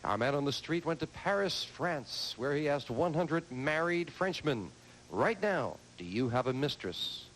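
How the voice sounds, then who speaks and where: A man's voice: fairly high pitch, lively intonation, a little slow, fairly bright tone, a little hiss or hum, low-quality recording. A man hosting a television game show, bantering and joking with the contestants.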